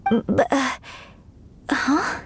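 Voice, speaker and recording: A woman's voice: extremely slow, slight background noise. A woman voicing a polite, thoughtful young opera performer in a video game, speaking in an earnest, articulate and courteous manner. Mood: taken aback.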